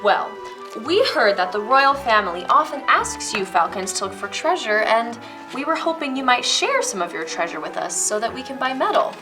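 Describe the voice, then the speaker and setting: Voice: mid-range voice, big pitch swings, moderate pace, music in the background, close to an everyday voice. A female performer playing a polite blacksmith woman in a children's reader's theater play. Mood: troubled.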